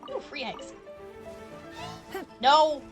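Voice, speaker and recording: Woman, high voice, unhurried, playful rambling gameplay commentary, soft game music behind. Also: sing-song. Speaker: game streamer. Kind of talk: casual live gameplay commentary. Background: faint game music.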